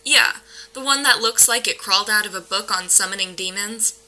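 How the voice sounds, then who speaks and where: A woman's voice: medium pitch, normal speed, bright, forceful tone. A woman playing a skeptical, level-headed paranormal investigator in an audio drama, dry and matter-of-fact. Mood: calm, dismissive. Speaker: actor in an audio play. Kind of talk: modern naturalistic audio drama.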